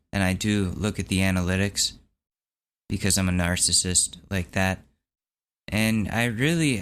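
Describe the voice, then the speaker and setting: Young male podcast host, low-pitched, candid rambling monologue, slightly strained voice. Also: fairly bright tone. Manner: rambling, candid and wry, with restarts and swearing. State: voice recovering from hoarseness. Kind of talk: casual solo podcast monologue.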